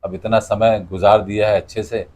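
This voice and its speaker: A man's voice: lowish voice, little pitch movement, moderate pace, a little mellow, dull audio. A middle-aged man, answering interview questions conversationally and explaining through anecdotes. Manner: explanatory, tells anecdotes with quoted dialogue. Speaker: interview guest, cartoonist and radio announcer.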